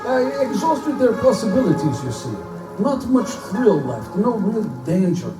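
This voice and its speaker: A man's voice: sits high, sing-song, unhurried. A man playing a courtly, boastful Russian general obsessed with big-game hunting, in a theatrical live reading of pulp fiction. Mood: boastful, menacing. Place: bookstore live performance before microphone. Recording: echoey live room, distant mic, music underneath.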